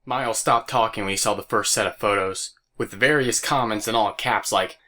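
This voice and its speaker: A man's voice: lowish voice, normal speed, pushed and bright. A man narrating a scripted audio drama story. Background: faint music.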